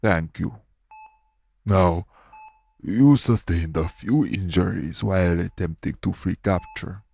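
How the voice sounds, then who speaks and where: A man playing a calm, courteous iguana-shifter archaeologist in an audio roleplay, speaking in a formal, measured, reassuring way. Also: low voice, creaky, slightly rough, heavily acted. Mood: formal, earnest. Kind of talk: audio roleplay acting addressed to the listener.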